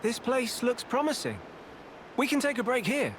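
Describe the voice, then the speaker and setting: An actor playing an earnest, thoughtful young adventurer in a video game cutscene. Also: male voice, extremely high pitch, big pitch swings, moderate pace, fairly bright tone, a little hiss or hum, exaggerated voice. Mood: bright, encouraging.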